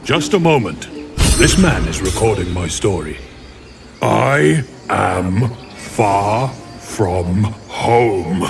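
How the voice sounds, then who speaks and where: An actor playing a weary, earnest talking bear in a video game, plaintive and aggrieved. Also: male voice, lowish voice, animated, extremely slow, vocal fry, long pauses, over music, heavily acted. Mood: solemn storytelling. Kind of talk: video game creature acting.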